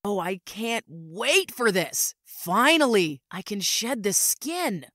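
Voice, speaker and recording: A woman's voice: medium pitch, very animated, slow, a bit bright, no room sound, studio quality, heavily acted. A woman playing a gleeful, sinister female alien posing as an office worker in an audio drama, with a mock-innocent, taunting delivery.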